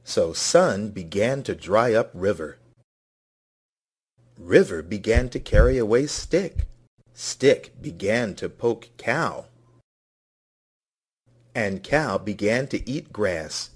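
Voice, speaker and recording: A man's voice: lowish voice, expressive, slow, pauses often, husky. A man narrating a scripted children's story as a read-along.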